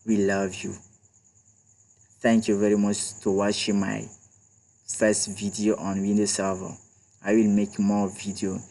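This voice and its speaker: A man's voice: lowish voice, completely flat delivery, slow pace, creaky, pauses often, dark tone. A man teaches a screen-recorded computer tutorial, chatty and repeating small checks with his viewers. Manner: chatty, repeats "d'accord" and "voilà". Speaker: IT tutorial instructor.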